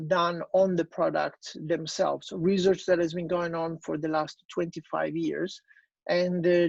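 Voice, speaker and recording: A man's voice: on the high side, vocal fry, a little mellow, slightly rough, no room sound, lo-fi sound. A man with an Italian accent giving an online business presentation, hesitant and full of fillers and restarts. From Italy.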